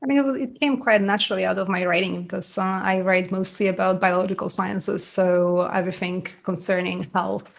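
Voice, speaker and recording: This is a woman with a Polish accent who answers an interviewer's questions at length. She speaks in an even, level tone with a gravelly, fry-tinged texture, often restarting a phrase and adding a small laugh. Polish is her first language, and she speaks at a middling pitch. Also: brisk, hardly any pauses. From Poland. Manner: thoughtful, self-correcting, laughs lightly. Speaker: author guest. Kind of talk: podcast interview.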